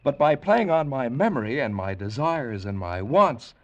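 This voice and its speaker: Male actor, earnest space captain, suspicious, intense, lively melodic range, vintage radio drama. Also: medium pitch, normal speed, dull audio, lightly acted. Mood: brooding, suspicious. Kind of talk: 1950s radio drama acting.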